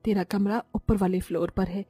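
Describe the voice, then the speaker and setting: A woman voicing a snobbish, sharp-tongued middle-aged mother-in-law in a cartoon story, with a sarcastic, scolding manner. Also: medium pitch, steady tone, normal speed, breathy edge, no echo, faint music behind, close to an everyday voice. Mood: doting. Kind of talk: Hindi cartoon moral-story voice acting.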